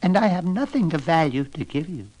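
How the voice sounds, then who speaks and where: A man's voice: on the high side, animated, brisk, a little mellow, voice pushed up, low-quality recording. A man voicing a distressed young girl, later a queen, in a storybook tale, plaintive and fretful. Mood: tearful, helpless. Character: distressed young poor girl who becomes a pleading queen.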